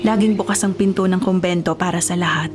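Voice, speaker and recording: A woman playing a kindly, welcoming small-town nun in a Filipino radio drama, with a gentle, counseling manner. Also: fairly low pitch, steady tone, quick pace, loud music behind the voice, natural voice. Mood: helpful.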